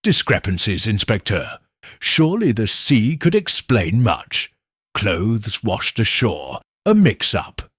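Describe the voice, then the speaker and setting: A man voicing a calm, guarded male doctor in an audiobook mystery, speaking in a measured way. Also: lowish voice, slightly rough, no echo, clean studio sound, exaggerated voice.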